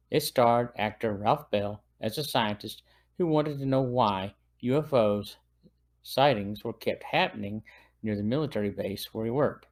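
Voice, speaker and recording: A man hosting a podcast, reading a semi-scripted review in a plain, earnest, informative manner. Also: medium pitch. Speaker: podcast host.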